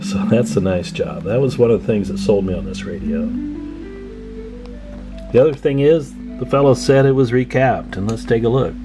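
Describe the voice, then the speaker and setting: A man's voice: mid-range voice, moderate pace, over music. A man giving a casual, hands-on walkthrough as a hobbyist, thinking aloud in a folksy, musing way. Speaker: hobbyist presenter.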